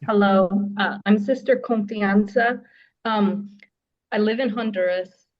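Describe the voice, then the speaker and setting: Halting American woman on muffled audio. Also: mid-range voice, steady tone. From United States. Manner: halting, thankful, thoughtful. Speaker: audience member asking a question. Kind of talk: casual question from the floor. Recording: dull lo-fi audio.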